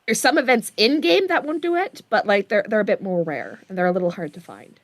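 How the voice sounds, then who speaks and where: A woman's voice: mid-range voice, lively intonation, a little fast, dry recording. A woman chatting casually as the co-host of a story reading. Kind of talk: casual chat.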